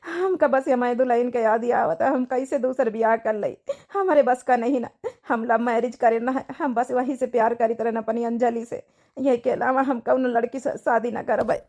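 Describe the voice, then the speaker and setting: Cartoon widowed father played by a woman: reluctant and sorrowful, highish voice, Awadhi accent, plaintive delivery. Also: steady tone, normal speed, darkish tone. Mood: grieving. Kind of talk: dramatic cartoon dialogue in dialect.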